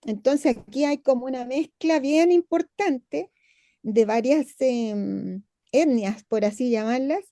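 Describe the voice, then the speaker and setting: Mellow-voiced woman, dry sound. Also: sits high, no room sound. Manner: animated, searching for words. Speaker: audience member. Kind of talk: conversational comment. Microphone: video call.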